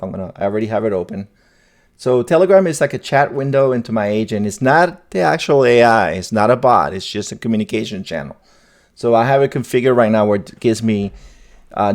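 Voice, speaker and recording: A man with a lowish voice hosts an informal tech walkthrough. He explains things step by step as if thinking aloud, sometimes repeating a phrase before moving on. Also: darkish tone. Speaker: tech video host. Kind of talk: casual tutorial screen demo.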